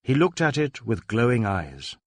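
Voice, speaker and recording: A man reading a story as a scripted audiobook narrator, in an expressive storytelling manner. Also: mid-range voice, unhurried, no echo.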